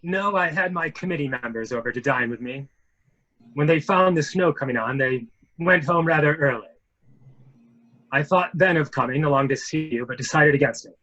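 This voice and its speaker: A man's voice: a little high, moderate pace, lo-fi sound. A man playing a genteel, blustering army major with formal, hedging speech in a murder mystery reading. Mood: evasive, blustering. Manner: formal, hedging, old-fashioned gentlemanly phrasing. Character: blustering, genteel military major running for Congress.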